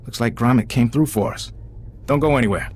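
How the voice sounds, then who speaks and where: A man's voice: mid-range voice, a little fast, slight background noise, close to an everyday voice. An actor playing a dry, wry male operative in a video game, giving briefings. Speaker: game character.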